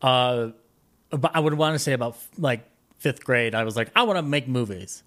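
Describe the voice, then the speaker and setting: A man with a mid-pitched voice talks casually as an interview guest. He rambles through his recollections in a halting way, often doubling back and rephrasing in the middle of a sentence. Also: a bit bright. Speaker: podcast guest. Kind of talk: casual conversational interview, reminiscing.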